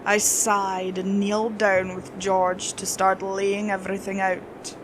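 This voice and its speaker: A woman's voice: medium pitch, completely flat delivery, slow, background noise. A woman reading a scripted horror story as its narrator.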